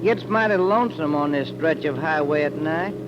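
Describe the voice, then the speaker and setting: An actor playing a folksy, flirtatious truck-driving sidekick with a drawling American Southern accent in a 1940s radio drama. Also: male voice, fairly high pitch, normal speed, noisy background, lightly acted. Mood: easygoing. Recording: dull 1940s radio recording, background bustle.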